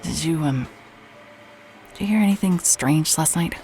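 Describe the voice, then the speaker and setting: An actress playing a weary, evasive woman in an audio drama, speaking haltingly and apologetically. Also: low-pitched, mellow, soft background music. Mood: awkward, uneasy. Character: weary, evasive woman, a traveller staying at a motel. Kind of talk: naturalistic audio drama acting.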